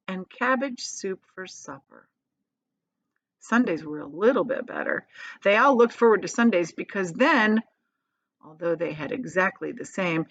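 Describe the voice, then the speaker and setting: A woman reads a children's story aloud like a teacher, with dramatic emphasis and drawn-out key words. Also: medium pitch, a bit bright, highs cut off.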